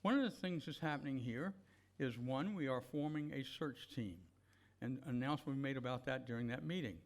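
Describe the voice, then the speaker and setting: A man's voice: mid-range voice, a little fast. A man leading a church service, giving blessings and announcements in an earnest, unscripted, encouraging way.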